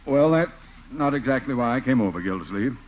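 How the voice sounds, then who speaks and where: A man's voice: mid-range voice, lively intonation, brisk, lightly acted. An actor playing a brusque, self-important male neighbour in a 1940s radio comedy. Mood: stiff, formal. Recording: muffled old radio recording, faint noise.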